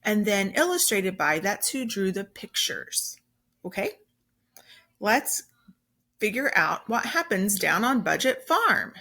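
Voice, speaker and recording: Slow, lively woman reading to children. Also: medium pitch. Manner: emphatic, simple explaining for children. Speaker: teacher. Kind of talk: children's story read-aloud with explanations.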